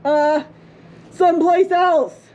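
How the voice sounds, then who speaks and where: A woman's voice: extremely high pitch, steady tone, slow pace, a little mellow, muffled recording. A woman voicing a blustering, scheming father dinosaur in a storybook, making fake-casual announcements.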